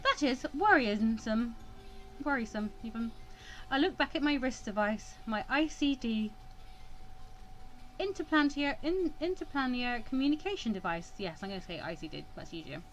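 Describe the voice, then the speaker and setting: A woman's voice: a little high, lively intonation, moderate pace, dull audio. A woman hosting a let's play, reading visual novel text aloud and breaking off for wry, sarcastic asides.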